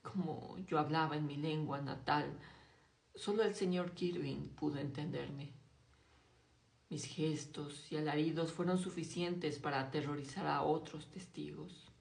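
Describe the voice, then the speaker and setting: A woman reading a classic novel aloud in a slow, hushed, dramatic way, dropping her voice low on grim passages. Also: fairly even intonation, creaky, roomy sound. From Mexico. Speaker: audiobook reader.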